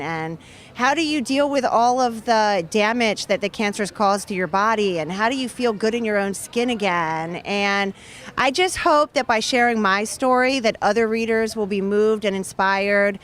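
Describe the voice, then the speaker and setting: Earnest author lady in her 30s, kinda high voice, talking at an outdoor charity race. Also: animated, a little slow, fairly bright tone. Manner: earnest, heartfelt and fluent. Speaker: author and guest. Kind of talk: interview at an outdoor event.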